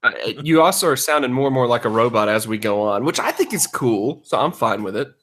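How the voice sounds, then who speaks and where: A man's voice: medium pitch, expressive, brisk, a bit bright. A man co-hosting a podcast in casual comedic banter, teasing and sarcastic with a mock-serious delivery. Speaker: podcast co-host.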